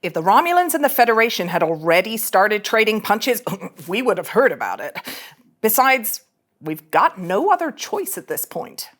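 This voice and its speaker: A woman's voice: mid-range voice, very animated, normal speed, a bit bright. A woman at a table read playing a brash, fearless starship officer with a sarcastic, slangy manner. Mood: brisk, sardonic. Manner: sardonic, slangy.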